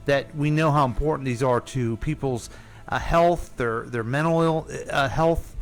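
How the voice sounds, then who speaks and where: A man's voice: mid-range voice, unhurried. Rambling man on radio. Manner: rambling storyteller, thinks aloud with fillers. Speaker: radio host. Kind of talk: casual radio talk. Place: radio studio.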